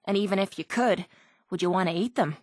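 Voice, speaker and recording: A woman's voice: mid-range voice, fairly quick, a little mellow, no echo, studio quality, close to an everyday voice. An actress playing a tough, cynical, streetwise young woman with an Irish accent, as a companion in a video game. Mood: wry, grumbling. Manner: blunt, sardonic, streetwise. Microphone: close, dry studio microphone.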